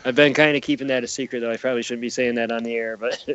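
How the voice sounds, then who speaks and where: A man's voice: medium pitch, fairly even intonation, rapid-fire, fairly bright tone, smooth. A man from the United States talking casually as a podcast guest over a lo-fi connection. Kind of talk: casual interview talk.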